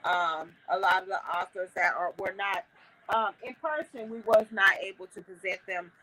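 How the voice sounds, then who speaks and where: A woman's voice: mid-range voice, moderate pace, sharp tone, rough recording. A woman hosting a casual livestream on her phone, talking in a folksy way with many fillers and restarts. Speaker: host.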